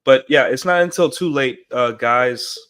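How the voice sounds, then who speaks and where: A man's voice: mid-range voice, a little slow, fairly bright tone, clear, resonant tone. A man with a stuffed-up nose from a cold, talking in a rambling, casual livestream monologue and often checking in with "right?". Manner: rambling, keeps asking "right?". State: congested from a cold. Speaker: streamer. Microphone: desk mic through an audio interface.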